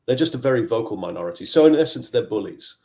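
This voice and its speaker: A man's voice: mid-range voice, expressive, quick pace, a little mellow. A middle-aged man from Zambia, a tech executive, talking on a video call interview and often repeating words as he searches for phrasing. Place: home office.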